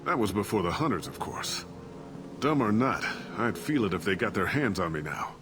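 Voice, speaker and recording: A man's voice: on the low side, sing-song, moderate pace. A middle-aged man playing a disgraced, evasive ship captain and father in a video game, talking defensively and justifying himself. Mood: evasive, wry. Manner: defensive, rationalizing. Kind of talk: dramatic video game voice acting. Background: cinematic background music.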